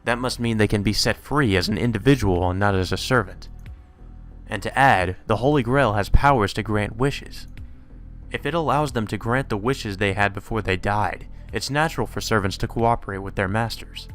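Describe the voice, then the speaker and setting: A man with a fairly low voice reads game text aloud as a let's player. Music plays faintly behind him. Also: normal speed, fairly bright tone.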